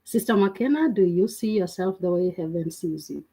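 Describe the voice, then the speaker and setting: Breathy woman hosting a faith talk. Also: lowish voice, moderate pace, warm tone. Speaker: host.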